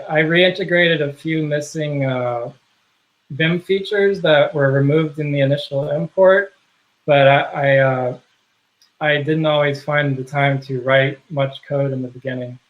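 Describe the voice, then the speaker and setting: A man being interviewed on a video call, talking casually and haltingly with many fillers and restarts. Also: a little high, narrow, tinny audio. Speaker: guest, software project contributor.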